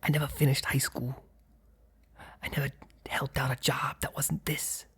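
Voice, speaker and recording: Husky whispering man playing a guarded mob boss. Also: medium pitch, level tone, moderate pace. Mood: resigned, earnest. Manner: hesitant, hushed, earnest. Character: guarded, apologetic young male mob boss, boyfriend confessing. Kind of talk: intimate ASMR roleplay monologue.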